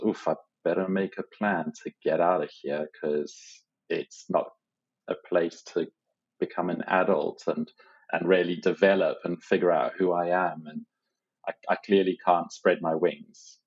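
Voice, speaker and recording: A man's voice: deep voice, a little mellow, highs cut off. A man from Zimbabwe, a podcast guest, telling his life story in an earnest, reflective way. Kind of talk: casual interview conversation.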